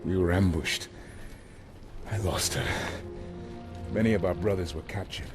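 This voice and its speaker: A man's voice: deep voice, unhurried. A man voicing a weary, grim soldier in a video game, subdued and speaking in short grave sentences. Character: weary, grim soldier reporting losses to comrade. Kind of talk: video game character acting. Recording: game music behind.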